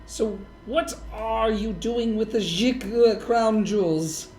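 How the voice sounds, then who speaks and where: A woman playing a wry, weary male private detective in a noir parody, speaking in a dry deadpan. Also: on the high side, slow pace, a little hiss or hum. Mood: deadpan, skeptical. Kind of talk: noir parody dialogue and narration. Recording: roomy echo, faint music underneath.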